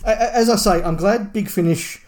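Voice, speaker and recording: A man's voice: high-pitched, brisk, a little mellow. An Australian man in his forties, a podcast co-host giving his opinions in a casual, conversational way. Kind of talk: casual chat, discussion.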